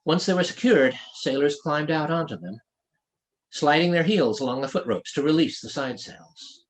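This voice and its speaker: A man's voice: a little high, expressive, normal speed. A man reading his own fantasy novel aloud as scripted audiobook narration.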